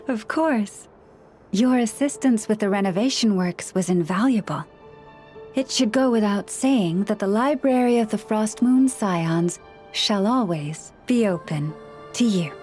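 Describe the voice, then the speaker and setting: An actress playing a gentle, caring woman who looks after forest animals, speaking in a soothing, measured way in a video game. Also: medium pitch, animated, soft background music. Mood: kindly. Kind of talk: video game character dialogue.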